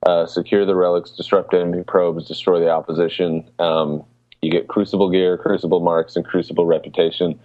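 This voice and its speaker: A man with a low voice chats as a podcast co-host. He explains things informally, and the sound is thin and narrow, like a phone call. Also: little pitch movement, a little fast, no echo. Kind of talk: casual podcast chat.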